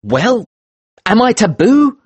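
A man's voice: on the high side, wildly swooping pitch, takes it slowly, husky, dry recording, voice pushed up, exaggerated voice. A man voicing an indignant, impatient British male trader in an audio story, speaking emphatically.

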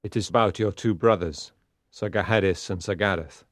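A sober, plain-speaking knight from a storybook tale, acted out by a man. His low voice is grave and measured, recorded dry and close. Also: dry recording, natural voice. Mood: grave, sombre. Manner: grave, measured, matter-of-fact. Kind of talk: dramatised storybook acting.

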